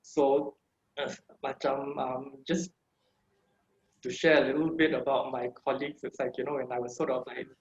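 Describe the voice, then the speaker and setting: A man's voice: mid-range voice, little pitch movement, normal speed, reverberant, dull audio. A man with a Singaporean English accent giving an informal online lecture, chatty and full of "yeah" and "sort of, like" fillers. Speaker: guest speaker on a heritage language. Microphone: video call microphone.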